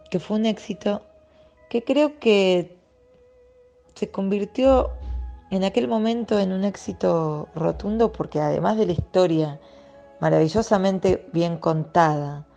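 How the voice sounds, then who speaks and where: A woman giving reflective interview answers, halting as she searches for words. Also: lowish voice, vocal fry, mellow. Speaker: interview guest, journalist and writer. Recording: dull lo-fi interview recording. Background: faint music.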